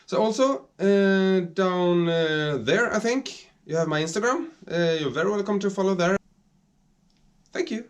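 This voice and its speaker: Brief: Swedish-accented male maker, a touch higher voice, halting, friendly vlog chat from a home workshop. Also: expressive, clean tone. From Sweden. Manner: hesitant, searches for English words. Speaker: hobby woodworker.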